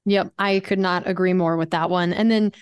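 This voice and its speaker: A woman's voice: on the low side, steady tone, moderate pace, smooth. A woman hosting a radio talk show, upbeat and agreeable, asking long set-up questions. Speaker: team reporter and show host.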